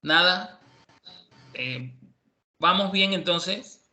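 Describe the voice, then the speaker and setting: A man from Cuba teaching an online university class, relaxed and chatty with many fillers. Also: high voice, extremely slow, soft background music. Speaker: university professor.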